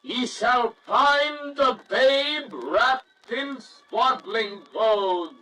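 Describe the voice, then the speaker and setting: Man as a majestic, high-pitched proclaiming angel. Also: pushed and bright, recorded from a distance, full character voice. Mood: exalted proclamation. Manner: proclaiming, grand and measured. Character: majestic loud angel of the Lord. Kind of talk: radio Christmas story acting.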